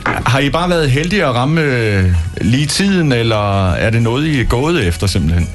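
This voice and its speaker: A man's voice: medium pitch, expressive, faint music behind. A man hosting a casual morning radio interview from a small studio, talking into a studio microphone. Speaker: radio host.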